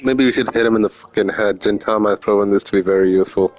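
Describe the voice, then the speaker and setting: A man's voice: fairly low pitch, steady tone, very fast, darkish tone, soft background music, rough recording. A man giving casual gameplay commentary as a streamer, talking in a slangy, offhand, sarcastic way. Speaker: game streamer.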